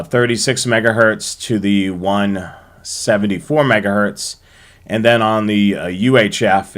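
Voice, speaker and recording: An American man hosting a hobby show, presenting to viewers in a halting way with frequent ums and uhs. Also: lowish voice, a bit bright.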